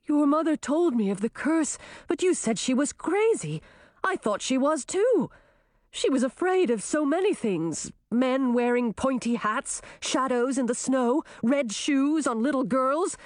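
A woman voicing a shaken king with a hoarse voice in a storybook reading. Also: sits high, lively intonation, a little slow, darkish tone, dry recording, clean studio sound. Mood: distressed.

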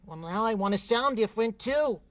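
A woman's voice: fairly high pitch, expressive, a little fast, a bit bright, dry recording. A woman voicing an eager, friendly young boy prince in a children's television puppet play, with simple, polite phrasing.

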